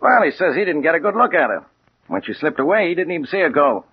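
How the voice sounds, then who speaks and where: An actor playing a plain-spoken American homicide captain, matter-of-fact and official, in a 1940s radio crime drama. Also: male voice, fairly high pitch, very animated, fast talker. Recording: muffled old radio recording with hiss.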